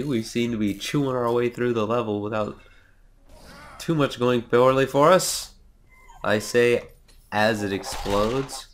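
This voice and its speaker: A man's voice: fairly low pitch, slight background noise. A young man with a cold, nasal and sniffly, giving casual, sarcastic let's play commentary and swearing now and then. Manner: sarcastic, self-mocking, swears.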